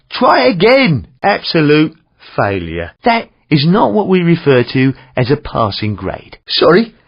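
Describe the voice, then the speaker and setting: A man playing a stern, fussy older British driving examiner in a video game, scolding in dry, formal phrases with a gravelly, husky voice. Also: a little high, exaggerated voice. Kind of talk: video game instructor dialogue. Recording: dull lo-fi game audio.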